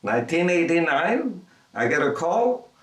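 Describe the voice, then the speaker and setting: Older male artist from Egypt, unhurried and halting, doubling back on his words; recorded in a roomy space with the mic at a distance. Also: fairly high pitch, sing-song, fairly bright tone. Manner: halting, repeats words, thinking aloud. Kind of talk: reflective documentary interview.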